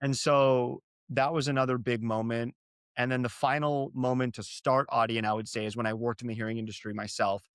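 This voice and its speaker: A man's voice: medium pitch, steady tone, brisk, fairly bright tone, smooth, dry recording, studio quality. A young man from Arizona, a native Gujarati speaker, speaking as an interview guest, earnest and reflective, often repeating words as he thinks. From Arizona, USA. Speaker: company co-founder, guest. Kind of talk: interview, personal storytelling.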